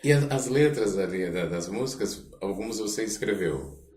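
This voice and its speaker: A man's voice: fairly low pitch, lively intonation, moderate pace, a little mellow. A Brazilian man hosting a podcast, chatting casually with his guest. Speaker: podcast host. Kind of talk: casual interview chat. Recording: echoey room.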